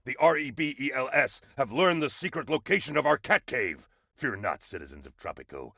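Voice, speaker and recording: A man's voice: medium pitch, lively intonation, brisk, creaky, bright, forceful tone, no echo, clean studio sound, heavily acted. A man voicing a pompous cat-themed superhero in a comedic game, grandiose and dramatic in the manner of a comic-book parody. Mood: heroic, dramatic.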